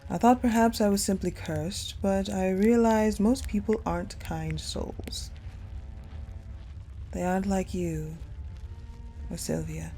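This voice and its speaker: A woman playing a cold, possessive female general in an intimate audio roleplay monologue, speaking in a hushed, deliberate way with pauses. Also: mid-range voice, fairly even intonation. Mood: cold, resolute. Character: cold, possessive ice general confiding in her captive beloved. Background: music.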